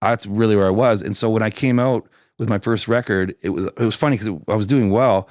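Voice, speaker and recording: Raspy-voiced Canadian man, low and dry. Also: steady tone, fairly quick, darkish tone, no echo. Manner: rambling, many restarts and fillers. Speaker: singer-songwriter guest. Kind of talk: casual interview chat.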